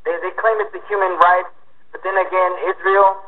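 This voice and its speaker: A man's voice: sits high, level tone, normal speed. Man, guest writer, hesitant, lots of ums, explanatory, phone-line sound. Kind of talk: radio interview answers. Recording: phone-like radio audio.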